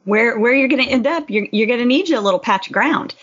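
A woman's voice: a little high, lively intonation, quick pace, slightly rough, muffled recording. A middle-aged woman co-hosting a podcast in casual, conversational chat. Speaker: podcast co-host.

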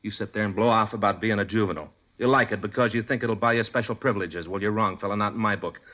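A man's voice: on the low side, fast talker, pushed and bright. An actor playing a hard-nosed police detective and father of two in a 1950s police drama, blunt and stern. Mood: lecturing.

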